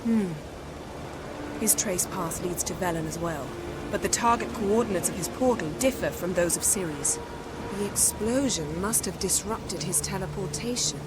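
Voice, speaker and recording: An actress playing a self-assured, imperious sorceress in a video game, composed and curt. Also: female voice, lowish voice, normal speed, lightly acted. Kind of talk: video game cutscene acting. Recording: loud music and effects behind.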